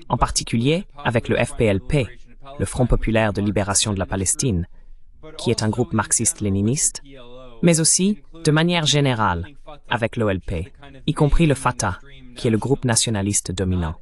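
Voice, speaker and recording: A man giving measured, didactic political commentary as a dubbed interview guest, stressing his key points. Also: medium pitch.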